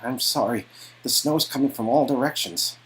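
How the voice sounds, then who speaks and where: A man's voice: medium pitch, moderate pace, pitched above the performer's own voice, close to an everyday voice, poor audio. A man playing an earnest, protective young boyfriend in a story game. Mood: anxious, tender.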